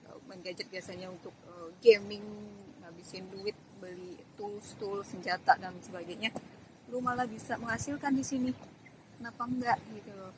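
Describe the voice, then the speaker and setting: A woman's voice: medium pitch, fairly even intonation, moderate pace, poor audio. A woman giving an informal, hesitant promotional talk in vlog style. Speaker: online business promoter. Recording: loud background noise.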